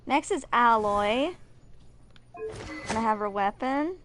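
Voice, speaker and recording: A woman's voice: fairly high pitch, animated, takes it slowly, noisy background. A woman in her twenties hosting a gaming video, chatting informally and reading aloud with some stumbles. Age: 20s. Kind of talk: casual live commentary, reading game text aloud.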